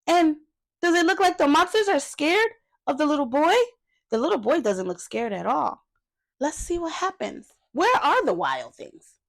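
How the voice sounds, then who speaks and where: Female children's story host, higher register with noticeable vocal fry, reading a picture book and chatting to young listeners. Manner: addresses children with questions and asides. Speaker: children's read-aloud host.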